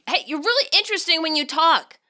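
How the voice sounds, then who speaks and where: A woman's voice: extremely high pitch, lively intonation, fast, pushed and bright, pitched above the performer's own voice, full character voice. A woman voicing a friendly, playful teenage girl traveler in an audiobook story, chirpy and appreciative. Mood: mock accusing.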